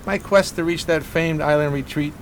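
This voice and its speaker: A man's voice: on the high side, fairly even intonation, moderate pace, a little hiss or hum, natural voice. Man acting a grandiloquent, melancholy down-and-out drifter. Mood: wistful, dramatic. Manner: florid, grandiloquent, theatrical phrasing. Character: eloquent, melancholy homeless male drifter in 1920s New York. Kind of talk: radio theater acting.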